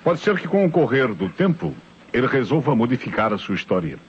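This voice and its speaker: A Brazilian actor playing a methodical, skeptical police inspector in an old radio mystery, probing and reasoning aloud with authority. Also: male voice, medium pitch, very animated, fairly quick, slightly rough. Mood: skeptical, firm. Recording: muffled old radio recording.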